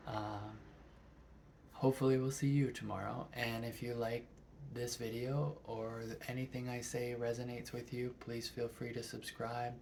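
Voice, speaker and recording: A middle-aged man giving a thoughtful, unhurried spiritual reflection to his viewers, often asking "right?". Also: mid-range voice, darkish tone, a little hiss or hum. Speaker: vlogger.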